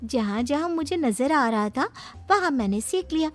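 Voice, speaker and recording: A woman's voice: high-pitched, lively intonation, moderate pace, soft background music, pitched above the performer's own voice. A woman voicing a coy yet cheeky young bride, girlish and defensive, in a cartoon moral story.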